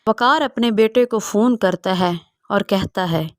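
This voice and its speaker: A woman's voice: mid-range voice, unhurried, no echo, clean studio sound. A woman narrating a scripted story as its storyteller.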